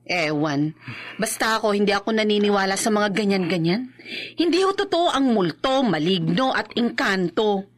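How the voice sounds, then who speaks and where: A woman acting in a Filipino horror radio drama as a feisty, skeptical young wife, sharp-tongued and expressive. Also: medium pitch, moderate pace, lightly acted. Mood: irritated, scoffing.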